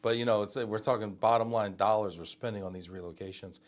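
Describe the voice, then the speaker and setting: A man from Texas hosting a live interview, talking in quick conversational bursts with many asides and fillers. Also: on the low side, steady tone. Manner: talks fast with many asides and fillers, speaks to viewers directly. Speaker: host of a live show.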